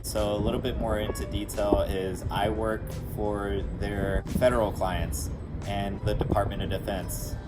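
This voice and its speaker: A man's voice: fairly low pitch, level tone, a little slow, over loud music, rough recording. A young man from Houston, a recent graduate now working as an engineer, talking earnestly to camera from an outdoor rooftop. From Houston, Texas. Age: young adult. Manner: earnest, conversational, with small restarts. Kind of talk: talking to camera about his studies and career.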